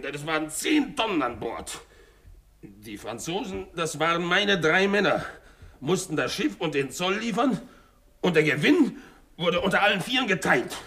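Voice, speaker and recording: Bitter, brooding sailor. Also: male voice, fairly high pitch, bright, forceful tone. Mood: bitter agitation. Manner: halting, stammering, emotional storytelling. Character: bitter, vengeful betrayed French sailor, former convict. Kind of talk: 1960s German radio crime drama acting.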